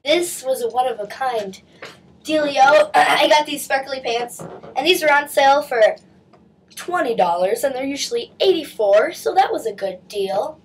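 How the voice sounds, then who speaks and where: A woman's voice: sits high, a little hiss or hum. A teenage girl chatting through a shopping haul on her webcam, stuffy from a cold, with lots of likes and restarts. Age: teens. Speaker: vlogger. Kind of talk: casual haul video chat. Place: small bedroom indoors. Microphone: webcam at desk distance.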